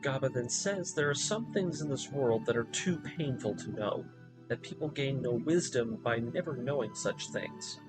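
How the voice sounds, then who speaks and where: A man giving a scripted story recap in a measured, explanatory storytelling manner, as the narrator of a lore video. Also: mid-range voice, lively intonation, over music, poor audio.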